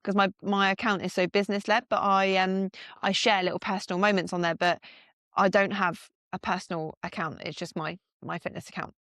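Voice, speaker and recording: A woman's voice: mid-range voice, level tone, a little fast, fairly bright tone, dry recording, clean studio sound. A woman co-hosting a business podcast, speaking frankly and upbeat, with casual swearing. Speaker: podcast co-host, fitness business owner.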